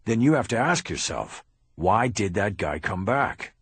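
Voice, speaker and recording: A man voicing a gruff, blunt police detective. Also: lowish voice, big pitch swings, measured pace, fairly bright tone, no room sound, exaggerated voice. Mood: stern. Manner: blunt, curses, barks orders. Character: gruff tough middle-aged male police detective. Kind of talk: audiobook character reading.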